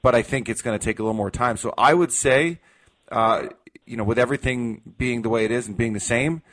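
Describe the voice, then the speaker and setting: A man's voice: mid-range voice, a little fast, fairly bright tone. An American man talking casually as a sports panelist, thinking aloud and hedging his opinions in a loose, joking way.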